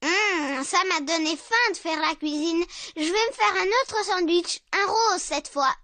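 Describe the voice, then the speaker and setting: A woman's voice: extremely high pitch, a little slow. A woman voicing an eager, boastful little boy in a children's cartoon. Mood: cheerful. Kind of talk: scripted children's cartoon dialogue. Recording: music behind the voice.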